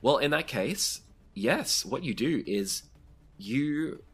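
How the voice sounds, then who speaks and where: A man's voice: mid-range voice, animated, slow, breathy edge. A man running a tabletop roleplaying game as game master, narrating the action off the cuff and putting questions to the players.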